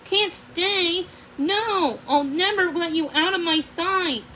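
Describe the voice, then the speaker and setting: A woman voicing an impulsive young prince in love for a storybook, emphatic and halting. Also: very high-pitched, pushed and bright, slight background noise, voice pushed up, full character voice, rough recording. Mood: defiant, urgent.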